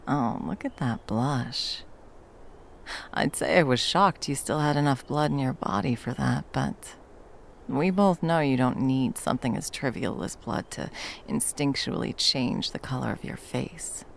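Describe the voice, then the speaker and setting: A woman's voice: extremely low pitch, creaky, slight background noise, heavily acted. A woman playing a battle-hardened monster hunter, violent yet a tender protective lover, in an intimate ASMR roleplay. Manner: intimate, sweary, teasing and soothing endearments. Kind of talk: ASMR roleplay, intimate scripted monologue addressed to the listener.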